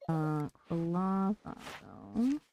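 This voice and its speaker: A woman's voice: on the low side, big pitch swings, drawn-out words, vocal fry, dark tone, a little hiss or hum. A woman giving casual livestream commentary on a video game, muttering to herself as she plays.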